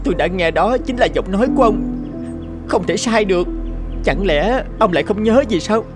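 A woman voicing a small, gentle bird in an animated folk tale, with a plaintive, pleading manner. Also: mid-range voice, normal speed, natural voice. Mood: sorrowful, reproachful. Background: music behind the voice.

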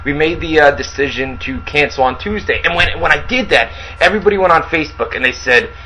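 A man's voice: on the high side, fast, pushed and bright, slight background noise, rough recording. A man in his thirties co-hosting a casual wrestling radio show, rambling and often restarting his sentences. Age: 30s. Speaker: podcast co-host. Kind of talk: casual chat.

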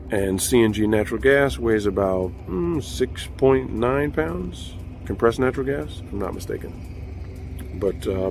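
Male trucker vlogger, forties, low-pitched, casual and explanatory, filmed on a handheld phone in his cab. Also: darkish tone. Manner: chatty, explains step by step to viewers. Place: outdoors by a truck, then inside a truck cab. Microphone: handheld phone at arm's length.

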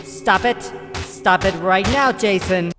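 A man's voice: high voice, slow. A man voicing a doting but murderous ghostly mother, commanding and scolding in a horror parody. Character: doting, murderous, nagging ghostly mother in her killer son's head. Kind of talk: comedic horror parody acting. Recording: long reverb, loud music behind.

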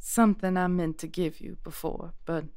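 A woman playing a calm, perceptive witch in an audio drama, speaking in a measured, earnest way. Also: lowish voice, dry recording, clean studio sound, natural voice. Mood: gentle, knowing. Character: calm, perceptive female witch ally granting blessings and charms. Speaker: voice actor.

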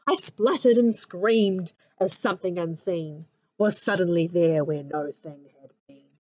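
A woman in her thirties with a New Zealand accent reads a children's picture book aloud with an expressive, sing-song rhyming delivery. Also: on the low side, unhurried, rough recording. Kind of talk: storybook read-aloud for children. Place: living room at home. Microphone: webcam at arm's length.

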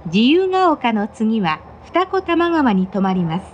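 A woman makes formal announcements on a train. Her voice is high and moves melodically up and down. She is courteous and scripted, with faint train noise around her. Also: sing-song, a little slow, muffled recording. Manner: formal, polite. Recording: noisy onboard train recording. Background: train running noise.

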